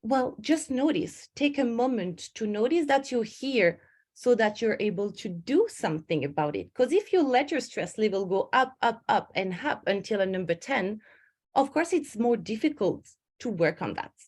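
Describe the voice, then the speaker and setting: A woman's voice: a little high, big pitch swings, moderate pace, darkish tone, breathy edge. A woman, a stress management coach giving an online webinar, speaking in an earnest, personal way and often correcting herself mid-sentence. She speaks into a home computer mic on a video call. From Switzerland.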